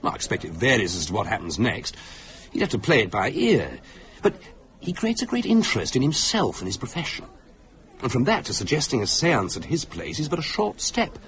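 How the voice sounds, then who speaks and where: A paternal, cynical British husband in a radio play. His speech swings widely in pitch as he cajoles and insists, always sure he knows better. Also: wildly swooping pitch, fairly quick, fairly bright tone. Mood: scornful, sceptical. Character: fussy, paternal, coaxing husband, sceptical and cynical. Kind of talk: British radio drama acting.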